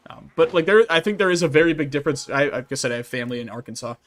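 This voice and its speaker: A man's voice: a little high, animated, very fast, fairly bright tone. A man from the Midwestern United States with a Midwestern American accent, streaming a game and casually answering chat messages with frequent laughter. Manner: reads chat messages aloud and answers them, laughing often. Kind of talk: casual livestream chat while gaming.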